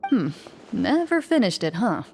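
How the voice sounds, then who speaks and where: A woman voicing a stern but caring champion duelist in a video game, speaking in a measured, calmly persuasive way. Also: medium pitch, gravelly, a little hiss or hum. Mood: calm, encouraging.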